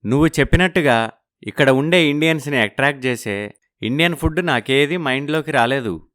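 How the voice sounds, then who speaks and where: A man playing a worried, earnest businessman husband in an animated story. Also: medium pitch, a little fast, lightly acted. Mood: defensive. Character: worried young businessman husband living abroad.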